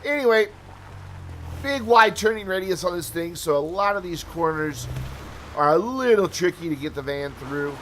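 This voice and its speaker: A man's voice: high-pitched, animated, normal speed, fairly bright tone, slight background noise. A man talking casually over gameplay footage as a channel host, chatty and full of asides, his voice tired from hours of talking. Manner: chatty, rambling asides, self-deprecating.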